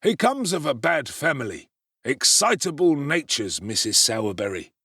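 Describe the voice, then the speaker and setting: A man voicing a pompous, self-important male parish beadle who lectures with slow, stern emphasis. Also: mid-range voice, big pitch swings, pushed and bright, husky, no room sound, clean studio sound, pitched above the performer's own voice, heavily acted.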